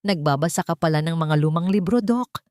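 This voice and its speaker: Isang babaeng gumaganap bilang aroganteng lalaking mapang-angkin, mapagbanta at malamig magsalita sa isang kuwentong drama. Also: female voice, a little fast, a little mellow, no room sound, studio quality, lightly acted. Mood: low menace. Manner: low, threatening, gloating. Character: menacing, arrogant, possessive powerful man, coldly threatening.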